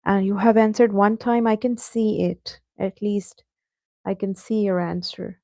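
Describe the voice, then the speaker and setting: A woman's voice: medium pitch, steady tone, a little slow, creaky, dark tone, dry recording. A woman teaching an online English class, patiently going over answers and addressing students by name.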